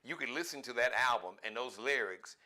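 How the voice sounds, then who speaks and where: Radio host guy, bright tense voice, easy chatty questions. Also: mid-range voice, animated, fairly quick. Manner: affirming, conversational. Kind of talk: interview host chat.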